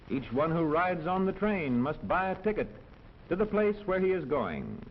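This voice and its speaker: A man narrating a 1940s educational film in a measured, instructional manner, on a muffled old soundtrack. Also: a little high, a little hiss or hum. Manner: clear, measured, instructional delivery.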